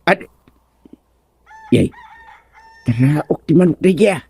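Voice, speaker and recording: An actor playing a stubborn, giggly old father in a comedic radio drama, exclamatory and repetitive with drawn-out words. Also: on the high side, expressive, warm tone, slightly rough, no room sound, full character voice. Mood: playful, puzzled.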